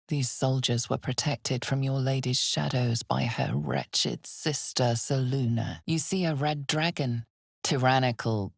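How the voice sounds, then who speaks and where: Female narrator for dark fantasy game: mellow, close dry sound, ominous and deliberate, wry undertone. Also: low-pitched, creaky, no room sound, heavily acted. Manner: measured, ominous, deliberate delivery.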